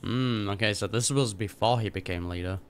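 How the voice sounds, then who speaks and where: A man's voice: on the low side, a little fast, a little hiss or hum. A young man giving casual, laid-back reaction commentary with slangy asides.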